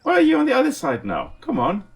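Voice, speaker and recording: A man's voice: extremely high pitch, wildly swooping pitch, quick pace, gravelly. A man from South Africa with a South African accent and Afrikaans as his first language, giving casual, enthusiastic gameplay commentary as a let's play host. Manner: enthusiastic, chatty, talks to the animals on screen.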